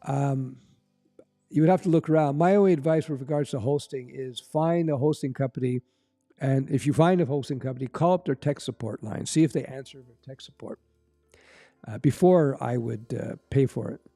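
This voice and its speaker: Gravelly, mellow-voiced Canadian man livestreaming. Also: medium pitch, moderate pace, faint music behind. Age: middle-aged. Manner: rambling, conversational, fillers and restarts. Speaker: livestream host answering chat. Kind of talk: casual live-stream chat.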